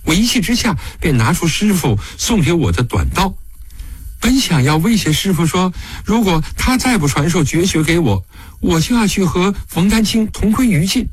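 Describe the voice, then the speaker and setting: A man narrating an audiobook, voicing a sorrowful, devoted young woman prisoner who speaks softly, haltingly and pleadingly. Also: sits high, big pitch swings, breathy edge, no room sound, pitched above the performer's own voice, full character voice. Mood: distraught, faltering.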